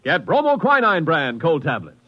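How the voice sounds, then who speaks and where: A man's voice: on the high side, huge pitch swings, normal speed. A man announcing in dramatic, emphatic 1950s radio style, reading scripted narration. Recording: dull vintage radio broadcast, music.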